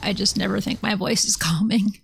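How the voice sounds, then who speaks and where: A woman's voice: mid-range voice, normal speed, vocal fry, breathy edge. A woman streamer chatting casually with viewers while she plays, rambling and self-deprecating. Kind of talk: casual chat while gaming.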